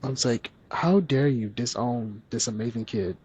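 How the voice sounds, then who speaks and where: Soft-spoken man with a husky, soothing voice. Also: medium pitch, normal speed. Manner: gentle, earnest, quiet. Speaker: podcast guest. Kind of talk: candid conversational interview.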